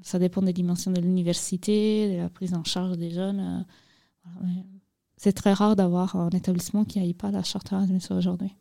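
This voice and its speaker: A woman's voice: fairly low pitch, little pitch movement, a little fast, creaky, warm tone, no echo. A woman speaking as a guest on a radio show, hesitant, with many fillers and restarts. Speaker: radio guest, youth program presenter. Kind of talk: casual radio interview. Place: radio studio.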